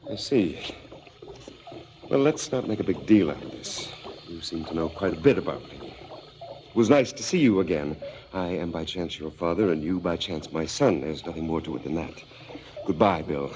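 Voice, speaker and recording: Cynical middle-aged crook, terse and dry, radio drama, noisy recording. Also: male voice, deep voice, lively intonation, normal speed, darkish tone. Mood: guarded, dismissive. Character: cynical, evasive middle-aged ex-professor turned murder broker. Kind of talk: 1970s radio drama acting.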